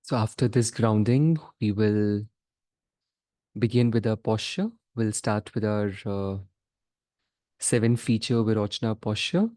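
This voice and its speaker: A man leading a guided meditation as a teacher, giving measured instructions in short phrases with pauses between them. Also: lowish voice, mellow, no echo.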